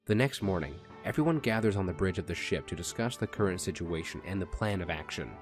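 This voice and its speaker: A man's voice: low voice, expressive, fast, faint music behind. A man narrating a scripted radio drama.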